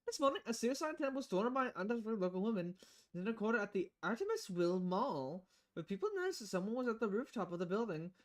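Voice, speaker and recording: A woman's voice: mid-range voice, lively intonation, fast. A woman streaming a visual novel, reading the dialogue aloud with casual, playful commentary and switching voices between lines.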